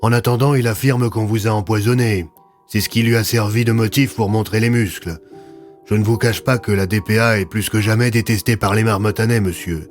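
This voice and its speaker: A man's voice: fairly low pitch, fairly even intonation, fairly quick, faint music behind, lightly acted. A man playing a cautious, candid hospital doctor in an audio drama. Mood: concerned.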